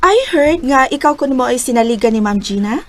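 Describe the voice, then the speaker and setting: A woman voicing a bossy young female office manager in an amateur radio drama, brisk and commanding. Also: high-pitched, expressive. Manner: brisk, commanding, mixes English in. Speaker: voice actor.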